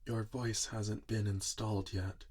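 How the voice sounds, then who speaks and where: A man acts out a doting, weary inventor father in an ASMR roleplay. He speaks softly and very slowly, with little rise or fall. The voice is low and tender, as he muses to the robot he built. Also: little pitch movement, husky. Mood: hopeful, gentle. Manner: slow, tender, musing, talking to a robot listener. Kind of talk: soft-spoken ASMR roleplay.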